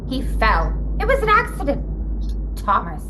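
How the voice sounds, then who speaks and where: A woman playing a frantic, guilt-ridden old mother in a halting, dramatic read for a live comedy script show. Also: sits high, huge pitch swings, background noise, exaggerated voice. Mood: anguished, confessing. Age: older.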